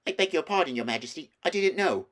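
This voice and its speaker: Man putting on a high-pitched, boyish storybook schoolboy. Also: expressive, fast talker, fairly bright tone. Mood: awkward, nervous. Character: sulky, awkward young schoolboy.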